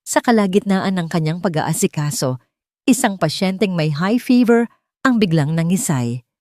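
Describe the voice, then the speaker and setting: A woman narrates a fictional story with dramatic, expressive delivery. Her voice sits a little low. The recording is dry and close to the mic. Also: normal speed, no room sound.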